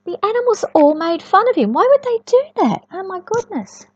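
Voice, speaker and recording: A woman reading a storybook to young children in an expressive, sing-song way, often chatting and asking the listener questions. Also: very high voice, normal speed. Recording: echoey room, dull lo-fi sound.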